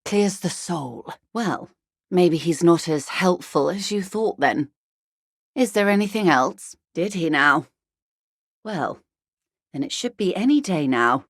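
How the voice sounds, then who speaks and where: A woman playing a composed, shrewd corporate negotiator in a video game, speaking in a measured, refined and dryly ironic way. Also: lowish voice, animated, dry recording, clean studio sound, exaggerated voice. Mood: cultured, conversational, then wry, probing. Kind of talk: video game character dialogue.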